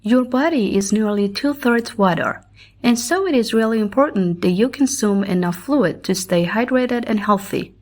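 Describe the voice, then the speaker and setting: A woman's voice: mid-range voice, animated, moderate pace. A woman reading formal passages aloud as scripted narration. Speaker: pronunciation practice narrator.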